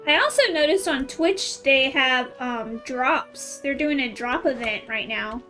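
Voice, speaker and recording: A woman's voice: high-pitched, measured pace, bright, forceful tone. A woman streamer chatting casually over gameplay. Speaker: game streamer. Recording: faint game music behind.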